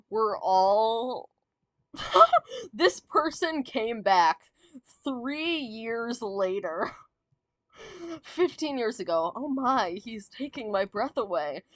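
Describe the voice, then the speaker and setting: A woman chats her way through a song ranking, drawn out and full of fillers, giggles and restarts. Her voice has gone a little raspy and sore from long talking. She speaks slowly, and the sound is dry and close. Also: on the high side, a bit bright, no room sound. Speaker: VTuber streamer. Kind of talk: casual fan commentary, ranking songs.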